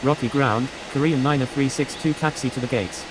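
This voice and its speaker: Woman acting very deep-voiced female airline pilot. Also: fairly quick, a little hiss or hum, poor audio. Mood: businesslike. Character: businesslike airline pilot in flight game. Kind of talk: scripted radio phraseology.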